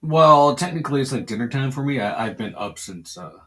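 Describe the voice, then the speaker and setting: A man with a Pittsburgh accent co-hosting a casual sports podcast, laid-back and rambling, with a hoarse voice. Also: mid-range voice, lively intonation, a little fast, crowd noise. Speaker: podcast co-host.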